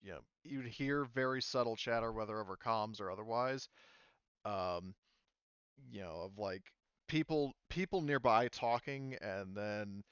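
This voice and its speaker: A man with a smooth, clean voice runs a tabletop game as its game master. He works out his rulings aloud, hesitant and casual. The audio is dry and slightly dull. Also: fairly low pitch, unhurried, fairly bright tone, dry recording. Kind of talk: improvised tabletop game narration.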